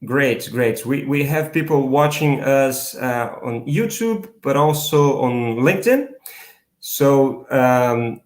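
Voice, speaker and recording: A man's voice: medium pitch, slow, reverberant. Brazilian man hosting a live interview. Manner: hesitant, many fillers and restarts. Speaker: host.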